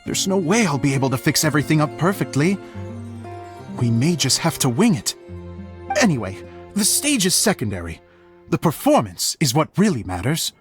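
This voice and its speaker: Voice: mid-range voice, sing-song, moderate pace, soft background music, natural voice. An actor playing an earnest, dramatic amateur stage director, humble and slightly flustered, in an anime video game. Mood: earnest, uneasy. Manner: theatrical, earnest, hesitant when embarrassed.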